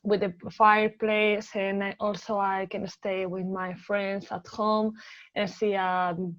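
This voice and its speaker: A woman's voice: medium pitch, flat delivery, takes it slowly, muffled recording. A young woman from Spain with a Spanish accent, answering exam questions hesitantly with many filler sounds. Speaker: exam candidate.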